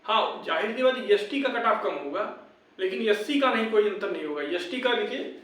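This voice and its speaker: A man's voice: sits high, lively intonation, a little fast, fairly bright tone. A young man, talking informally and insistently to his viewers, repeating his points as he gives advice and urges them on. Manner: insistent, repetitive, addresses viewers as brothers. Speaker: YouTube exam-update presenter. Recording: very echoey room, distant microphone.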